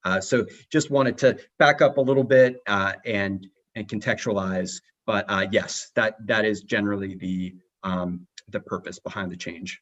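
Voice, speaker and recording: A man briefing a city council in a formal meeting, pausing often with fillers as he explains. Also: on the low side, steady tone, a bit bright. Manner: halting, many uh and um fillers. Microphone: remote video call. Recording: muffled video call audio.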